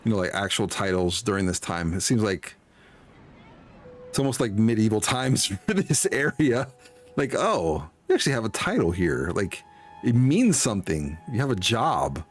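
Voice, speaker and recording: Lively, slangy male game streamer. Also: lowish voice, moderate pace. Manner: slangy, joking. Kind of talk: casual playthrough commentary and reading dialogue aloud.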